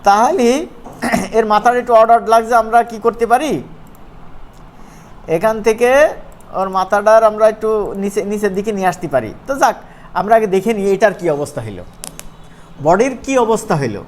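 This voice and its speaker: A man with a regional Bangladeshi Bengali accent giving an informal software tutorial, conversational and often checking that his students follow. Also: high-pitched, lively intonation, moderate pace, long pauses. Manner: conversational, often asks check-back questions. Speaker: animation course instructor. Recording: noisy room, clearly audible background noise.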